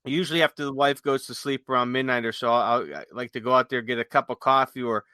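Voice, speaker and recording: A man's voice: medium pitch, fast talker, sharp tone, smooth, no echo, clean studio sound. A man co-hosting a podcast in casual, enthusiastic, rambling explanations, sometimes reading from notes. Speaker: podcast co-host. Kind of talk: casual chat with some read-aloud notes.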